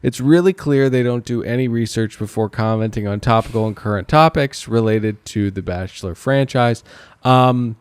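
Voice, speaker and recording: A man's voice: medium pitch, moderate pace, clear, resonant tone, no room sound. A man hosting a casual comedy podcast, joking and delivering mock-formal announcements. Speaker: podcast host.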